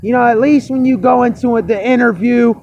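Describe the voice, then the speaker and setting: Hoarse, fired-up man ranting. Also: very high voice, brisk, a little mellow, highs cut off. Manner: fired-up, repetitive, swearing. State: hoarse, voice cracking. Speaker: vlogger. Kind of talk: angry personal rant to camera.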